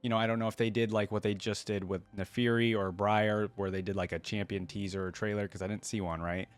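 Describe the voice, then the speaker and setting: A woman's voice: a bass voice, little pitch movement, fast. A woman hosting a casual game reaction, musing and inquisitive, often checking her thoughts with a questioning tag. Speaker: reaction video host.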